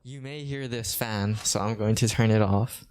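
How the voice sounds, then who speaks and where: A young man in his late teens from Hawaii, hosting a casual podcast chat in a flat, monotone way with lots of restarts. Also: fairly low pitch, normal speed, noisy background. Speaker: podcast host.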